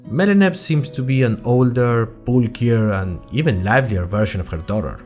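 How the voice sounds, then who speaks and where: Young male student journalist played by a male actor, animated and wry, chatty podcast storytelling with music underneath. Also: mid-range voice, normal speed, lightly acted. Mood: chatty, curious. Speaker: fictional podcast host and narrator. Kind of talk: scripted first-person audio drama narration in chatty podcast form.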